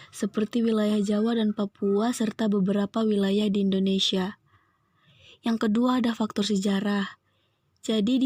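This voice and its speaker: Young woman reciting in a flat voice. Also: mid-range voice, no room sound, clean studio sound. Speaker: student presenter. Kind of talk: reading a school assignment.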